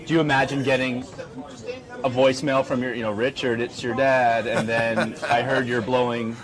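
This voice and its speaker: A man's voice: medium pitch, level tone, normal speed, poor audio. A man interviewing in a mock-serious, probing way on a comedy radio show. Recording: crowd chatter in the background.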